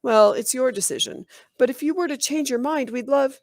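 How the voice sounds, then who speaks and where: A woman's voice: high-pitched, normal speed, no echo, studio quality, voice pushed up. A woman voicing an earnest, persuasive male middle-school math teacher in a storybook reading. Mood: coaxing.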